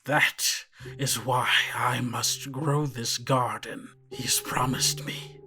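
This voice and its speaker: Man voicing a hushed, sorrowful spider woman. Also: medium pitch, steady tone, slow pace, music in the background, natural voice. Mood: pained. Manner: terse, hushed, pained. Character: monstrous spider woman, sorrowful, clinging to a promise.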